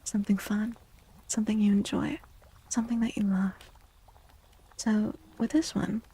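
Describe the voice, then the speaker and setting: A soft-spoken woman livestreaming an ASMR tarot reading, gentle and soothing, with a breathy voice that often slips into a whisper. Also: medium pitch, level tone. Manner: gentle, soothing, unhurried reassurance.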